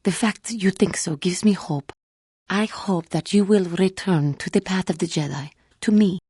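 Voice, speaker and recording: A woman playing a wounded, earnest female alien Jedi in a video game, speaking in formal, measured phrases. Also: on the low side, dark tone, slightly rough, no echo, studio quality. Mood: earnest, hopeful. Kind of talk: scripted video game character dialogue.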